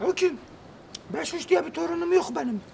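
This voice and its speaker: A performer voicing a forgetful, sharp-tongued old grandmother who gives dry, matter-of-fact retorts. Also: very high voice, lively intonation, fairly quick, vocal fry, a little hiss or hum, exaggerated voice. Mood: tart.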